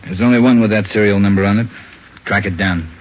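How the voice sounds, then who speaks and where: A man acting as an American police captain speaks in a low voice, clipped and in charge. He keeps to business in the manner of vintage radio crime drama. The sound is dull and old. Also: fairly even intonation, fast talker, noisy background, close to an everyday voice. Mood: businesslike. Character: brisk, commanding male police captain, the detectives' boss.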